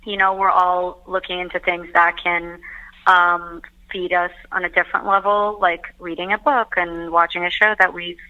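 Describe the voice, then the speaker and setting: A woman from Turkey, chatting as a guest over a video call, upbeat and quick to laugh. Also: fairly low pitch, level tone, smooth. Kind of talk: casual chat over a call. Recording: tinny phone line.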